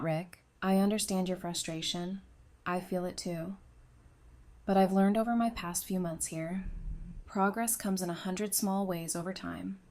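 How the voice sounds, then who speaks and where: A woman acting a candid, steady, protective survivor in an audio drama. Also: medium pitch, lightly acted.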